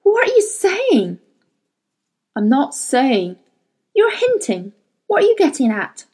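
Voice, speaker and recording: A woman's voice: sits high, wildly swooping pitch, slow pace, a little mellow, voice pushed up, exaggerated voice. A woman voicing an indignant, principled woman scientist who argues heatedly.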